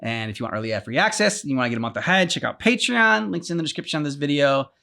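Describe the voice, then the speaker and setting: A man co-hosting a game reaction show, chatting casually with halting, rambling sentences full of "like" and "um". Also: a little high, lively intonation, vocal fry, pushed and bright. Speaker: co-host of a game reaction channel.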